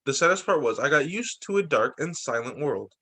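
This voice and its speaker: A man's voice: fairly high pitch, fairly quick. A man reading a picture book aloud to young students as their teacher, expressively and with small asides. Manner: animated, explains and checks in with young listeners.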